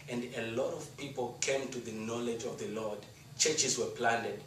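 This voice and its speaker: A man's voice: medium pitch, a bit bright. Echoey, distant Zimbabwean man giving a testimony. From rural Shurugwi, Zimbabwe. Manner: earnest, emphatic, deliberate rhetorical phrasing. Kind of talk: rehearsed testimony and appeal speech to an audience. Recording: roomy echo, distant mic, faint noise.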